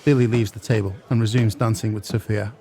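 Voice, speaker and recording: A man's voice: on the low side, fairly even intonation, a little fast, gravelly, dark tone, soft background music. A man giving scripted narration as the narrator of an audio drama.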